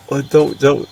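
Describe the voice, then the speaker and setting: A man co-hosting a podcast in a casual, enthusiastic, slangy way, peppering his talk with dude and like. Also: fairly high pitch. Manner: slangy, bro-ish, full of "dude" and "like". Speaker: podcast co-host. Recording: distant mic with faint background noise.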